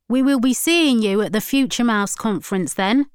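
A woman's voice: fairly high pitch, moderate pace, clear, resonant tone, dry recording, clean studio sound, voice pushed up, lightly acted. A woman voicing a zealous, accusing religious man with a severe, preachy, declamatory manner. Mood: resolute.

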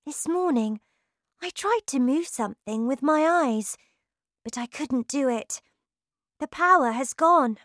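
A woman's voice: animated, unhurried, a bit bright, no room sound, studio quality. A woman doing a pleading little schoolgirl. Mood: wistful. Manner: high, urgent, pleading.

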